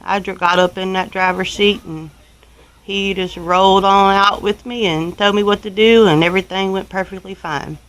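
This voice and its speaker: A woman's voice: medium pitch, fairly even intonation, normal speed, fairly bright tone, rough recording. Folksy Southern American woman telling a story. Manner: folksy, rambling, with country turns of phrase. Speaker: homestead vlogger telling a personal story. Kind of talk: casual spoken storytelling to viewers.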